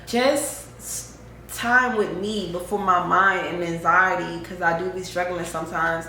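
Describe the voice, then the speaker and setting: A woman's voice: lowish voice, bright, forceful tone. Young woman vlogging, slangy, lots of "you know what i'm saying", echoey room, bit of hiss. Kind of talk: casual spiritual chat to camera.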